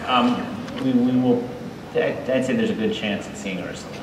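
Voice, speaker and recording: A man speaking as a show creator on a live panel, measured and careful in a conversational way. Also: mid-range voice. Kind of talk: live panel interview, explanatory. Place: large indoor convention hall stage. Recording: echoey hall, distant, crowd noise.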